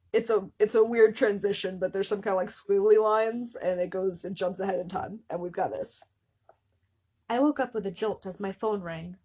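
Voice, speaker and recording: A young woman with a slightly husky voice reads aloud in a casual, comedic way. She sounds amused by the material and keeps interrupting herself with wry, mock-suffering asides. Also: mid-range voice, a little mellow. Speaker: host of a small channel. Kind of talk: casual comedic dramatic reading of fan fiction with asides.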